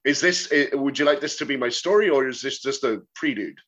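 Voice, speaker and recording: A man's voice: medium pitch, animated, a little fast, fairly bright tone. A man from the UK, the guest on a podcast, telling stories in a wry, casual way. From United Kingdom. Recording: dull lo-fi audio.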